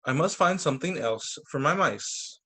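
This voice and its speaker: Man as a little storybook fellow, eager, exclaiming, animated pitch, simple declarations. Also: normal speed, breathy edge, heavily acted. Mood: gently scolding. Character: small, eager storybook collector, Little M, male.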